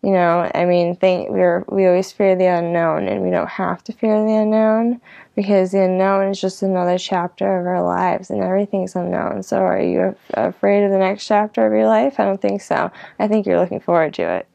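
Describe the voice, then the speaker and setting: A woman's voice: medium pitch, level tone, normal speed, gravelly, dark tone, dry recording, highs cut off. A woman hosting her own show, thinking aloud in an informal, meandering way full of "like" and "you know". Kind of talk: casual rambling vlog reflection.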